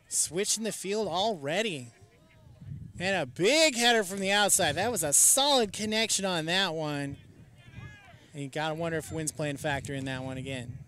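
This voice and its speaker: A young man doing relaxed, chatty play-by-play commentary at an outdoor soccer match, sometimes stretching his phrases out. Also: a little high, normal speed, slight background noise. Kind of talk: live sports commentary. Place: outdoors at a coastal soccer field.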